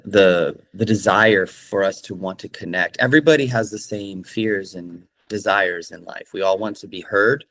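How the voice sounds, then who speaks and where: Man, from California, low-ish voice, earnest, lots of ums and restarts, interview answers. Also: a bit bright. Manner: earnest, with many fillers and restarts. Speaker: interviewed singer-songwriter.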